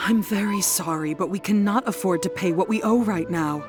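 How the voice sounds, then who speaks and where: An actress voicing a distressed wife in a video game whose husband has gone missing, apologetic and tearful. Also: female voice, medium pitch, normal speed, music in the background, lightly acted. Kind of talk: game voice acting.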